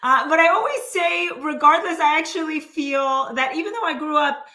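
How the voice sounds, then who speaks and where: A woman raised in Canada, a financial planner answering interview questions in a lively way, laughing often and using many fillers. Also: sits high, moderate pace. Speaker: guest, financial planner and author.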